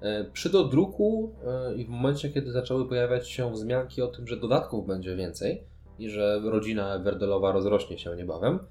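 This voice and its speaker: Low-voiced mellow man. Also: normal speed. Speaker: podcast co-host. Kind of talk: casual board game discussion.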